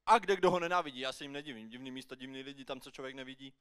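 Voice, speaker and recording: A man's voice: medium pitch, a bit bright, clear, resonant tone. Male slam poet, clean resonant voice, rhythmic theatrical storyteller delivering rhymed verse. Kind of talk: rhymed slam poetry performance. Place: live slam poetry final on stage.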